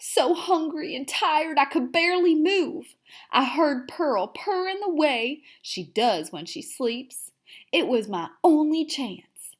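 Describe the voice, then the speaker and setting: Storybook hamster diarist, female reader: high-pitched, melodramatic, big swoops, theatrical emphasis for young listeners. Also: husky, full character voice. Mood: fearful, suspenseful. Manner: theatrical, with drawn-out emphasis and hushed asides. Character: excitable, dramatic little hamster telling his diary. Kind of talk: expressive children's read-aloud.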